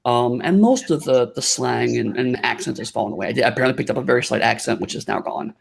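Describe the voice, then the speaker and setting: A man with a gravelly edge to his voice chats as a podcast guest. He rambles and halts, circling back over his words with plenty of fillers, and his pitch sits in the middle range. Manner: halting, rambling, many restarts and fillers. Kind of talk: casual chat.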